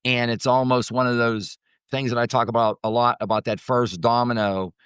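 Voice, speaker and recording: Low-voiced man giving motivational coaching. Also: level tone, normal speed, a bit bright, clear, resonant tone, dry recording, clean studio sound. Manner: emphatic, repeats phrases for stress. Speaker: motivational mindset coach and host. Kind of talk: spontaneous motivational teaching talk.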